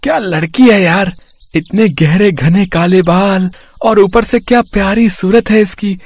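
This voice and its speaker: A man's voice: sits high, a little slow, slight background noise. A man voicing a henpecked, scheming village husband in a cartoon, with a theatrical, complaining manner. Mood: scheming, smitten.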